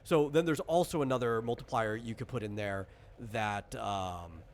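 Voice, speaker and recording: A man's voice: mid-range voice, moderate pace. A man explaining things in casual chat as a game designer, often checking in with "right?". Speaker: game designer guiding character creation.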